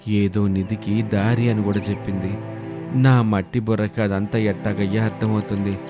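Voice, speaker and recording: Poor village orphan played by a male storyteller, deferential, pleading, crafty, lowish voice over music. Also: little pitch movement, normal speed, lightly acted. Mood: humble, persuasive.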